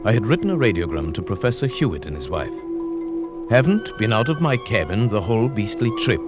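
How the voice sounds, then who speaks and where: An actor playing a scheming professor who narrates his own wife-murder, in a smooth, quietly gloating 1940s radio drama style. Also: lowish voice, sing-song, fairly quick. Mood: cold narration. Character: scheming middle-aged male professor, cold wife-murderer. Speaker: narrator and lead character. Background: music.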